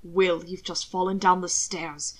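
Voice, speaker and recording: A woman reading the part of a worried, commanding male aristocrat in a lowered, measured voice. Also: flat delivery. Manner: low, measured, stern.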